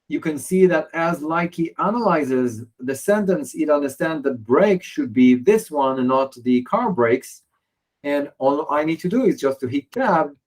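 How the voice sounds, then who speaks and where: Man demoing software, halting. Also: fairly high pitch. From Israel. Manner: hesitant, many fillers and restarts. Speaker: software presenter. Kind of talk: software demo walkthrough.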